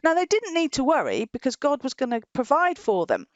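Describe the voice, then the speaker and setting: A woman's voice: high-pitched, wildly swooping pitch, fairly quick, no room sound, lo-fi sound. A woman presenting a children's Bible story informally, explaining in simple phrases and often repeating herself. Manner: simple explanatory phrasing with frequent repetition and chuckles.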